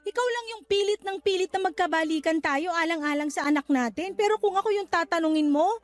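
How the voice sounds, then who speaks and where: A woman's voice: extremely high pitch, brisk. An actress playing a weary, curt young mother in a Tagalog radio drama, exasperated with her partner. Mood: confused, exasperated. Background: music bed.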